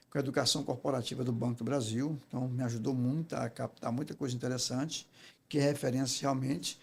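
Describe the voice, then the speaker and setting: A man's voice: a little high, vocal fry, husky. A Brazilian man speaking as an interview guest and public speaking teacher, telling stories in an emphatic, didactic way and often checking for agreement. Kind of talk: conversational storytelling in an interview.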